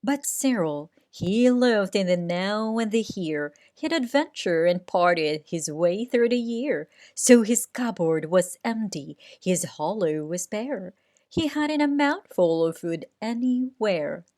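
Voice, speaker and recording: A woman reading a rhyming children's story aloud in an expressive, theatrical way with deliberate phrasing. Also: medium pitch. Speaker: storybook reader.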